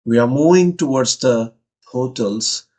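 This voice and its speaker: A man's voice: mid-range voice, a little mellow. Indian man leading guided meditation. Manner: measured short phrases, repetitive, incantatory.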